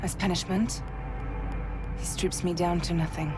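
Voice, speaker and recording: An actress playing a wounded but defiant, stoic courier in a video game, speaking in a measured, grave, restrained way. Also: female voice, fairly low pitch, steady tone, music in the background, close to an everyday voice. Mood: bitter, grave. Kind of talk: dramatic video game cutscene acting.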